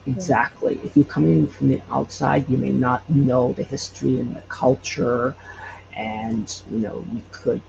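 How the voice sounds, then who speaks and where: A man chatting casually as an interview guest, with hesitant, halting phrasing. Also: mid-range voice, fairly even intonation, vocal fry. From Hawaii. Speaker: podcast guest, audio drama creator. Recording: dull lo-fi sound, faint noise.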